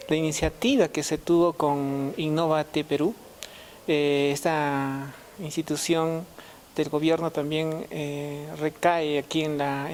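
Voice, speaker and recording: A woman explaining a project in a hesitant, halting way. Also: low-pitched, a little mellow. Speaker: radio project team member. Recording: faint background hiss.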